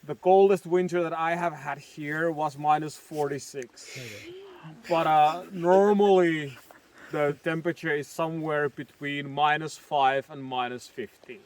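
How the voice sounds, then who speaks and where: A man's voice: fairly high pitch, fairly even intonation, a little slow. A man with a Finnish accent, a bushcraft guide who owns his own company, explaining the local area in a plain, matter-of-fact way and listing things off one by one. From Finland. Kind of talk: casual guiding explanation. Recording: tinny lo-fi audio with road noise. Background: vehicle engine and road noise.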